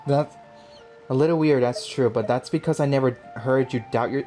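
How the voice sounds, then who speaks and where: A man doing casual, slangy let's play commentary, reacting aloud in an excitable way. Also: medium pitch, moderate pace, faint music behind. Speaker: gaming video host. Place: home, near a barking dog.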